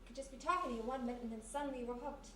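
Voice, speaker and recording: A roomy, far-off live recording of an actress playing a sharp-witted American woman sidekick in an old-time radio mystery. She talks fast and insistently, with stubborn, slangy urgency, over faint noise. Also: sits high. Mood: hushed, ominous. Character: quick-thinking, stubborn young woman, detective's sidekick. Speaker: actor. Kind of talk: 1940s-style radio mystery acting, live. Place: live performance in a historic house.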